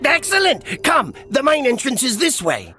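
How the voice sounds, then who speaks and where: A man's voice: extremely high pitch, huge pitch swings, normal speed, pushed and bright, faint music behind, exaggerated voice. A man voicing an eager, urgent dwarf miner in a fantasy video game cutscene, exclaiming as he talks.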